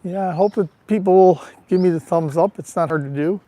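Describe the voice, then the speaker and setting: Male vlogger, raspy from allergies, chatty tour-guide manner, greets viewers by name; phone mic outdoors, light traffic hiss. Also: high-pitched, brisk, dark tone. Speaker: livestream vlogger. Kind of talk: casual live walking tour. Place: outdoors on a town street. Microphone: handheld phone.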